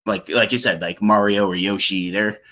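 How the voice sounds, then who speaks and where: A man's voice: fairly low pitch, steady tone, moderate pace, sharp tone. A young man talking casually on a podcast, sharing his thoughts in a conversational way. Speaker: podcast host and guest.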